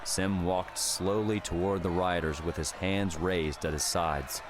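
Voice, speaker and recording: Male narrator, deep pitch, dramatic scripted storytelling, audiobook, slight background noise. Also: steady tone, measured pace.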